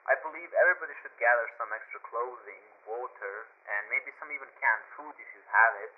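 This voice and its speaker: A man's voice: medium pitch, brisk, lightly acted. A man playing an alarmed, distrustful radio co-host who gives news commentary in a hesitant but emphatic, opinionated way. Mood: grave, distrustful. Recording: tinny band-limited radio broadcast.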